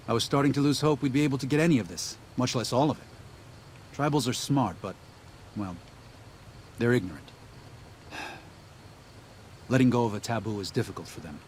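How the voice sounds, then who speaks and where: A man playing an earnest, gentle missionary in a video game, talking in a mild, sincere and slightly hesitant way. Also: medium pitch, moderate pace, pauses often, slight background noise, lightly acted. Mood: relieved, weary. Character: earnest, gentle missionary leader guiding wasteland tribes.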